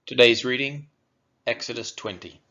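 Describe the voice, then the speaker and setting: A man reading scripture aloud as a narrator for a church read-along, in measured, deliberate phrasing. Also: medium pitch, pushed and bright. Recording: dull, lo-fi recording.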